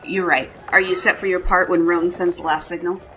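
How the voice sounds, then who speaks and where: A woman's voice: fairly low pitch, steady tone, brisk, low-quality recording. A woman playing an informal, joking young male fighter in an audio drama. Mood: earnest. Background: loud music.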